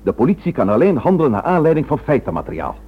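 An actor in a Dutch radio drama playing a stern, gruff police commissioner with a curt, authoritative manner. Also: male voice, medium pitch, big pitch swings, fast, phone-quality sound. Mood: firm, controlled. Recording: noisy, thin old radio recording with hum.

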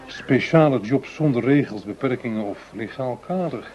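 An actor playing a cautious, calculating justice minister in a radio play, speaking in deliberate, formal phrases. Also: male voice, medium pitch, darkish tone, muffled recording, close to an everyday voice. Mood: wry disbelief.

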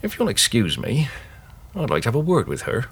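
A man's voice: medium pitch, huge pitch swings, a little fast, fairly bright tone, husky, full character voice. A man playing a dry, probing police inspector in a radio drama, knowing and insinuating. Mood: brisk, knowing. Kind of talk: British radio drama acting.

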